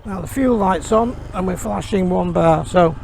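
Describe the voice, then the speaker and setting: Casting: croaky, high-voiced man, hesitant rambling rider, clear road noise behind. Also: normal speed, a little mellow. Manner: rambling, hesitant, lots of ums. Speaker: motorcycle reviewer. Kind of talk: casual riding vlog. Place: outdoors on a motorcycle.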